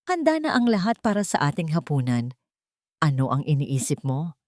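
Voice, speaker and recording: A woman's voice: deep voice, huge pitch swings, moderate pace, no echo, studio quality. A woman narrator voicing a proud, affectionate old father in an audio story, speaking fondly.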